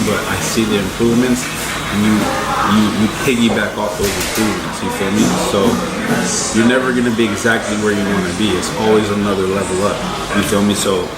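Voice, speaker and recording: A man in his twenties from Boston with an American accent, chatting casually and slangily as he answers viewer questions in a vlog. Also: fairly low pitch. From United States, grew up in Boston and Miami. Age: 20s. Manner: slangy, conversational, often tagging "you feel me". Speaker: vlogger, host. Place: indoors at a restaurant booth. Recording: roomy, echoey eatery sound.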